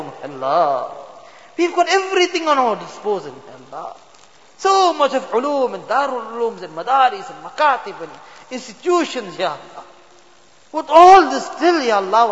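A man's voice: very high voice, a bit bright. A man leading an impassioned, pleading prayer of supplication, his voice breaking with emotion, recorded in a mosque prayer hall. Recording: dull, reverberant hall recording.

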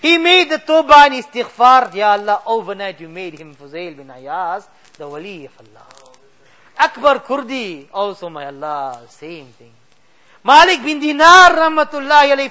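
A man leads a weeping supplication in a high, bright voice. His voice leaps from sobbing cries down to hushed, barely audible pleading. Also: very animated, normal speed, dull audio. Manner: weeping, pleading, swings between loud cries and hushed murmurs. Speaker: imam leading a dua. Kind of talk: emotional supplication sermon.

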